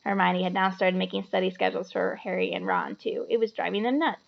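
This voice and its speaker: A woman reading a children's novel aloud as an expressive storyteller. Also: lowish voice, brisk, vocal fry, lo-fi sound. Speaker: storybook reader.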